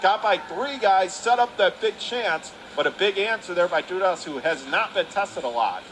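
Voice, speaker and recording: Male hockey play-by-play caller, higher register, urgent clipped delivery, noisy live arena sound. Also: animated, normal speed, fairly bright tone. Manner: urgent calls of the action in clipped phrases. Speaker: radio play-by-play hockey announcer. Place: indoor ice hockey arena. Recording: clearly noisy live rink recording.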